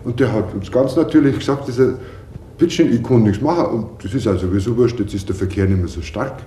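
A man's voice: mid-range voice, quick pace, warm tone. A man casually telling an anecdote in spontaneous speech, quoting what others said. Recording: roomy echoey room with faint noise.

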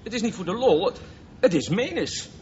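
A man's voice: high-pitched, big pitch swings, normal speed, a little mellow. A male actor playing an adult man who cautions a boy, hesitant and soothing, in a Dutch radio play. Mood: placating. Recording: muffled, faintly noisy radio play recording.

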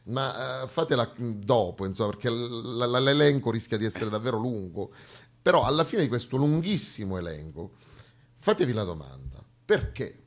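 A man's voice: mid-range voice, sing-song, normal speed. A man performing a satirical, colloquial theatre monologue on stage, ironic and full of rhetorical questions.

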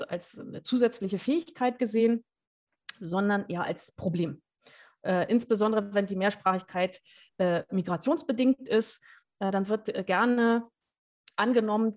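A woman guest on a casual podcast, talking through an idea at a mid pitch with a steady, explanatory manner. She often pauses and hesitates as she searches for the right words. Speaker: guest researcher.

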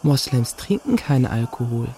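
An actor playing a curious, cheeky, candid schoolboy in a radio play. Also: male voice, mid-range voice, very animated, moderate pace, dark tone, a little hiss or hum. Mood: curious, frank.

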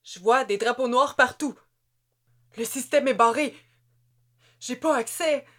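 A woman voicing a panicked soldier shouting from a console. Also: high voice, a little slow, voice pushed up. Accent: Québécois. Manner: urgent, shouting.

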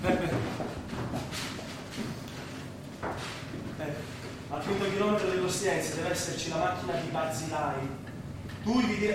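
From far off in a very echoey, noisy hall comes a high male voice, an actor playing an impatient man in charge. He gives curt orders and argues theatrically, as in a stage play. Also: natural voice. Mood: urgent, commanding. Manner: curt, commanding, theatrical delivery. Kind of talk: Italian stage drama dialogue. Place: large echoing indoor space, distant microphone.

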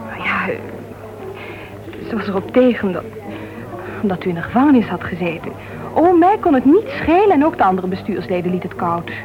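A woman's voice: medium pitch, sing-song, brisk, long pauses, crowd noise, lightly acted. An actress playing a gossipy, sociable committee woman of a writers' club in a Dutch radio play, chatty and easily piqued. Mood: hesitant, confiding. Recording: tinny band-limited old radio recording, music behind.

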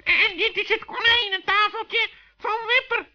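A man's voice: very high voice, measured pace, bright, forceful tone, pitched above the performer's own voice, heavily acted. A man voicing a boastful, whiny, naive little seahorse creature in a children's radio play, exclamatory and self-important. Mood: proud, boastful. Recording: muffled vintage 1960s radio recording.

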